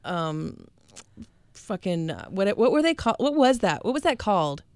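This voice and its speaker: A woman's voice: mid-range voice, very animated, unhurried, no room sound, clean studio sound. A woman in her late thirties hosting a casual, candid chat, rambling and breaking off mid-sentence. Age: late 30s. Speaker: show host.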